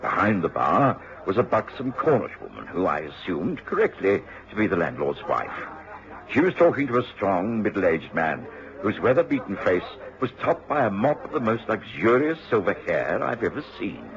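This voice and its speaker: A dull, hissy vintage radio recording of a hearty British doctor. His low voice swings expressively as he talks and narrates in old-fashioned radio drama style. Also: sing-song, normal speed, crowd noise. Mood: measured narration. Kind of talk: mid-century radio drama acting and narration.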